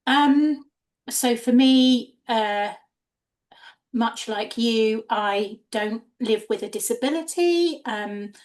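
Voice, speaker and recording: A woman's voice: a little high, slow pace, darkish tone. A woman, a counsellor, speaking hesitantly and reflectively as a workshop participant. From Norfolk, England. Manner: hesitant, reflective, many ums.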